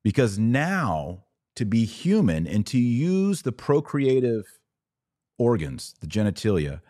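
A man's voice: mid-range voice, huge pitch swings, slow pace, dark tone, no echo, clean studio sound. A middle-aged man hosting a podcast, delivering religious commentary in an emphatic, preachy yet conversational way. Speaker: podcast host.

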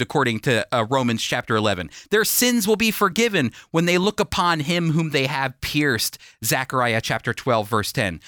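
A man with a somewhat high voice hosts a Bible teaching program. He explains scripture in emphatic, deliberate phrases that rise and fall. The sound is close and very dry, as in a studio. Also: bright, forceful tone, no echo. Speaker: radio Bible teacher and host. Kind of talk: expository Bible teaching for broadcast. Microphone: close studio microphone, dry.

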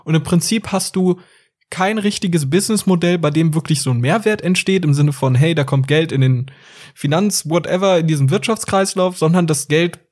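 A man's voice: on the high side, moderate pace, darkish tone. A man co-hosting a casual podcast, explaining things in a loose, slangy, rambling way. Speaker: podcast co-host.

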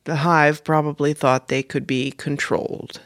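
A composed woman operative speaks in calm, measured, formal sentences, voiced by a male narrator. Her pitch sits clearly higher than his natural voice. The reading is close and dry. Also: no echo.

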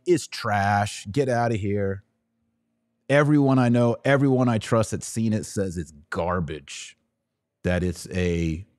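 A man's voice: on the low side, a little mellow, dry recording, studio quality. A middle-aged American man hosting a casual livestream, talking emphatically and with opinion in short, often repeated phrases. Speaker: podcast host taking live audience questions. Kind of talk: casual livestream monologue, reading and answering comments.

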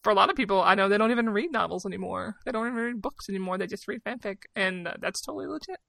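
A woman in her thirties with a bright, forward voice that often crackles into fry. She talks like a podcast co-host, candid and self-deprecating, in a casual storytelling flow. The recording is close and dry. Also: medium pitch, rapid-fire, no echo. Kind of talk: casual personal storytelling and chat.

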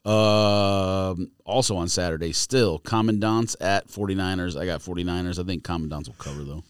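A man's voice: deep voice, steady tone, moderate pace, clear, resonant tone, no room sound, studio quality. A man hosting a casual sports podcast, calling out matchups and bantering through picks. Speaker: podcast host calling out the games.

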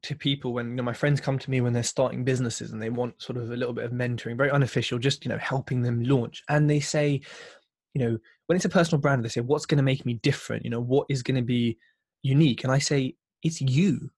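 A man's voice: medium pitch, steady tone, quick pace, a little mellow, husky, no echo, clean studio sound. A British man talking casually as a podcast guest, in a chatty, emphatic way. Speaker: podcast host interviewed as guest. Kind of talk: casual conversational interview.

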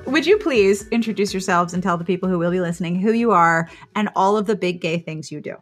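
A woman's voice: on the low side, fast talker, music in the background. A woman hosting a podcast in a chatty, playful way, reading intros and putting questions to guests. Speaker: podcast host and interviewer. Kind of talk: upbeat podcast intro, ad read and interview.

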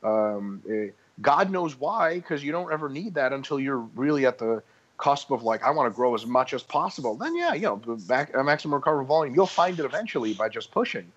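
A man's voice: mid-range voice, lively intonation, a little fast, fairly bright tone, highs cut off. Man explaining training, full of ums. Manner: explanatory, many ums and restarts. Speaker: guest expert. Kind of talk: casual podcast discussion.

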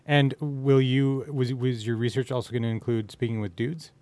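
A man's voice: mid-range voice, level tone, normal speed, a little mellow, dry recording. A man hosting a podcast in a casual chatty style, asking rambling questions and interrupting himself as he goes. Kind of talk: casual chat.

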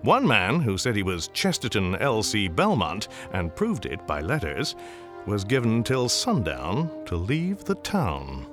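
Deep, animated Western storyteller. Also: male voice, a little slow. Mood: wry storytelling. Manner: wry, courtly storytelling. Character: wry male storyteller narrating an Old West tale. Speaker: narrator. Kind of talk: scripted storybook narration for radio drama. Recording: music behind the voice.

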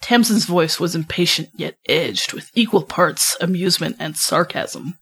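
A woman's voice: on the low side, moderate pace, a bit bright, breathy edge. A woman co-hosting a podcast, giggly and self-conscious, chatting casually and narrating amateur fan fiction. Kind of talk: casual chat and amateur story reading.

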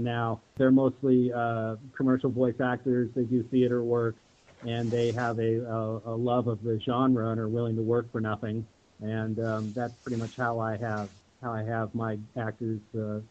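A man giving casual, thoughtful interview answers as a guest, speaking haltingly over an internet call. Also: mid-range voice, a little mellow, a little hiss or hum. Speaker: interview guest, audio drama producer. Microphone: remote audio call. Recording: thin, phone-like internet call.

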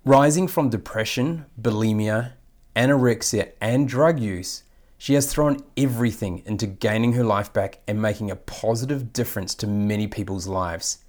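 A man's voice: lowish voice, normal speed, a little mellow. Man hosting, formal effusive intro. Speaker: podcast host. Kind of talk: scripted guest introduction and interview.

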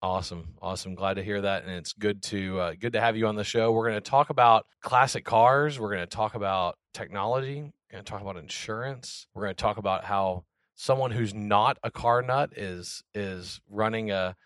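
A man hosting a podcast, chatting in a relaxed, casual way with plenty of filler words. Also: lowish voice, normal speed, no echo, studio quality. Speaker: podcast host. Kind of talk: casual interview chat.

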